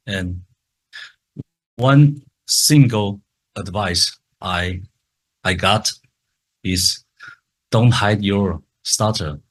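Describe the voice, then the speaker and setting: Slow, deep-voiced man who stutters. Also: low-quality recording. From Taiwan. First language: Mandarin Chinese. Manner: stutters, repeats words and sounds. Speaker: conference speaker. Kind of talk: online conference talk.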